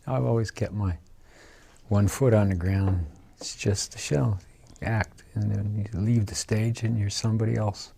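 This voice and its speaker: A man being interviewed, laid-back and reflective, trailing off quietly. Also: lowish voice, fairly even intonation, unhurried, gravelly, breathy edge.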